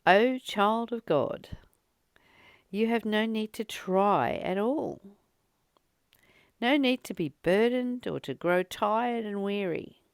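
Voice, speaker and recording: Woman with creaky voice, earnest spiritual teacher. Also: medium pitch, slow pace, long pauses, no echo, studio quality. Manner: earnest, exhorting, with repeated phrases for emphasis. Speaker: spiritual teacher reading and commenting on a text. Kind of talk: spiritual reading with personal, heartfelt commentary.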